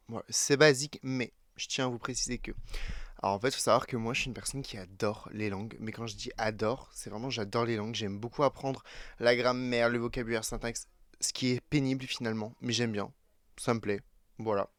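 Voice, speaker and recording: A man's voice: medium pitch, no room sound. A French teenage boy in his late teens, chatting casually to camera with many fillers and self-corrections. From France. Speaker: YouTuber.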